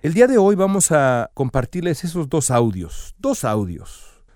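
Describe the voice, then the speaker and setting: A man's voice: fairly high pitch, huge pitch swings, a little slow, warm tone, no echo, clean studio sound. A man delivering earnest, emphatic news commentary as the show's host.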